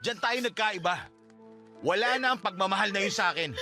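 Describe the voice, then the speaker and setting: A man's voice: high voice, fairly quick, pushed and bright, soft background music, full character voice. A Filipino man playing a firm, fed-up husband, blunt and exasperated, in radio drama style. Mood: cold, firm. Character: firm, fed-up husband ending a long relationship.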